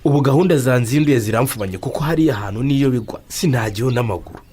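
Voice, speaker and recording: A man's voice: medium pitch, racing, darkish tone, a little hiss or hum, close to an everyday voice. A Rwandan man playing an irritable, argumentative, hard-drinking husband in a radio drama. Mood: exasperated. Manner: exasperated, argumentative. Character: irritable hard-drinking husband who lost his bicycle.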